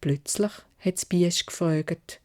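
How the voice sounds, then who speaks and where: Low, unhurried Swiss German storytelling woman. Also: a little mellow. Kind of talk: scripted fairy-tale narration for children.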